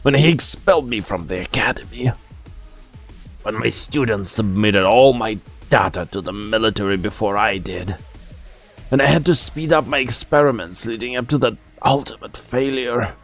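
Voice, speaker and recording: A man playing a mocking, bitter old scientist in a theatrical, deliberate fan-dub performance. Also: on the low side, faint music behind. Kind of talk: fan-dub game drama acting.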